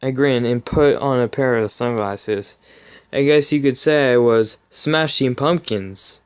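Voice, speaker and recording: A man's voice: medium pitch, measured pace, clear, resonant tone. A man reading a story aloud as a channel host, in an amused dramatic style with giggly asides of commentary.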